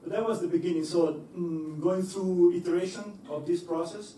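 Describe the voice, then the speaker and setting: Young guy giving a talk, a bit high voice, lots of uhs, echoey hall. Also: steady tone, moderate pace, warm tone, recorded from a distance, slight background noise. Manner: hesitant, many fillers and restarts, laughs easily. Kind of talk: presentation to an audience. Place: lecture hall.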